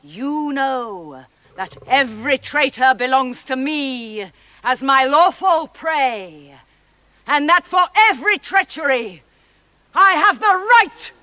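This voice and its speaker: A piercing, high-voiced witch queen in a fantasy drama. She declaims slowly and grandly, swinging widely in pitch. She is imperious and full of menace. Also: sharp tone, dry recording, full character voice. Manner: theatrical, declamatory, slow, swelling to shrill outbursts.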